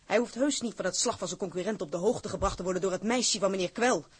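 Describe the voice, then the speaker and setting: An actress playing a bold, loyal captain's wife in a Dutch period drama, blunt and defiant in manner. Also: female voice, mid-range voice, fast talker, breathy edge. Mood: defiant, determined.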